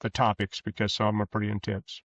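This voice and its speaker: A man answering interview questions as a guest author, conversational, with rambling, halting explanations. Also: lowish voice, monotone, no echo. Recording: dull, lo-fi sound.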